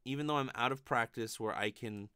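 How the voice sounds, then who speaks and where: A man's voice: mid-range voice, fairly quick, sharp tone, smooth, no room sound, clean studio sound. Rambling, sweary, self-deprecating man. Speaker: vlogger and amateur ukulele player. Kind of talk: casual self-deprecating chat to viewers. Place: bedroom, indoors. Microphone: studio condenser mic up close.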